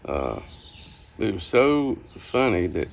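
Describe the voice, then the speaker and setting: A low, gravelly voice from an older man spinning casual recollections. He is folksy and unhurried, pausing and restarting as he goes. Birdsong and faint outdoor ambience sit behind him. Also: big pitch swings, slight background noise. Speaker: storyteller. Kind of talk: casual reminiscing storytelling.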